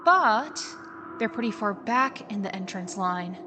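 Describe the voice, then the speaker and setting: A woman's voice: medium pitch, expressive, unhurried, noisy background, close to an everyday voice. A woman playing a confident, level-headed teenage girl in a dramatized audiobook, urgent and decisive. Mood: urgent, reassuring.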